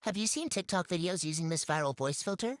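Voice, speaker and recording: A woman's voice: on the low side, animated, fast talker, no echo, clean studio sound. A woman reading a short scripted promo, her voice altered by a voice-changer filter.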